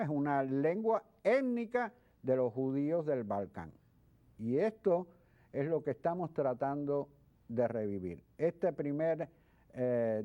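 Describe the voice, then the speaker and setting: A man speaking as a guest expert in an interview, explaining things in a halting way with repeated words. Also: mid-range voice. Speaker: guest expert, history professor. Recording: dull lo-fi sound.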